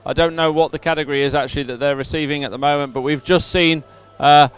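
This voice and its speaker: High, clear-voiced male sports reporter. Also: fairly even intonation, fast talker. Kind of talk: live event reporting, reading out results. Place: outdoors at medal ceremony area.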